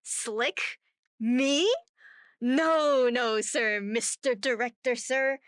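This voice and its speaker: A woman playing a gentle, effeminate young actor in a radio play, playful and mock-deferential. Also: a little high, very slow, dry recording, studio quality. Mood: teasing. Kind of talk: naturalistic radio play acting.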